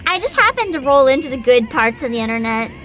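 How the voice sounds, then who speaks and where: High, lively, giggly streamer woman. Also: fast talker, loud music behind the voice. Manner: giggly, chatty, reacts to chat, reads descriptions aloud. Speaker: VTuber streamer. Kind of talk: casual livestream chat.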